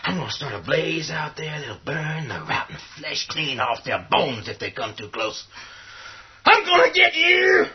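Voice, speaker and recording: An actor playing a gruff, resolute middle-aged working man in a horror radio play, speaking in a folksy, commanding way. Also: a little high, wildly swooping pitch, unhurried, heavily acted. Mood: furious. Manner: folksy colloquial drawl, commanding, rough-spoken. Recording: dull, noisy lo-fi audio.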